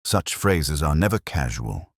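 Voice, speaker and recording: A man voicing a melancholy older retired police inspector, narrating a literary detective drama in a measured, wistful, erudite way with wry touches. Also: a bass voice, big pitch swings, no echo, studio quality, exaggerated voice. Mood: wistful, brooding. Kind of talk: literary first-person detective drama monologue.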